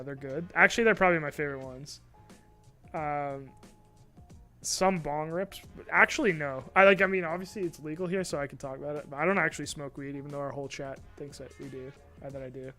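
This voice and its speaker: A man's voice: on the high side, fairly even intonation, normal speed, sharp tone. Co-host, male, casual and hesitant, swears freely, light music bed. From Canada. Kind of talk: casual live stream chat. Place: studio.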